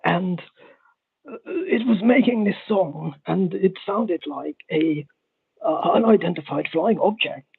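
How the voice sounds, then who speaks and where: A man phones in to a comedy talk show, his voice high and husky. He stammers, repeats himself and keeps circling back to the same point. The sound comes through a thin, tinny phone line. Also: expressive. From Spain. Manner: halting, repetitive, stammering. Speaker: caller. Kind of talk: rambling comedic call-in.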